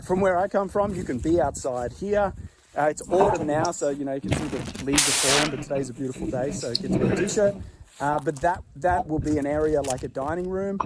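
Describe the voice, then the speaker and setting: A man with an Australian accent, speaking in a relaxed, chatty way full of "uh" and "like" as he walks around his rural farm for a vlog. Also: fairly high pitch. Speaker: vlogger. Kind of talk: casual walk-and-talk vlog. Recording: loud outdoor background noise.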